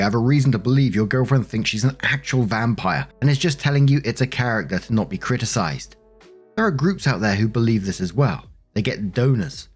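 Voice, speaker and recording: A man's voice: fairly low pitch, darkish tone, breathy edge. A man reading online stories aloud in a chatty, conversational way, with casual commentary as the host.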